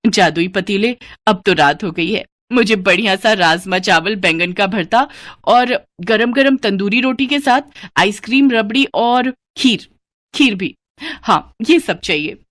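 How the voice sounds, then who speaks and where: A woman's voice: mid-range voice, lively intonation, measured pace, fairly bright tone, no room sound, studio quality. A woman voicing a carefree, defiant, food-obsessed young daughter-in-law in a cartoon moral story, with a whiny, self-indulgent manner. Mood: pleased.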